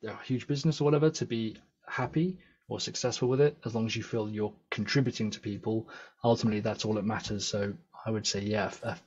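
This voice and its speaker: A man's voice: lowish voice, a little mellow, husky, muffled recording. A British man, a podcast guest and agency founder, talking earnestly and upbeat in a casual interview, often restarting his sentences as he thinks. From England.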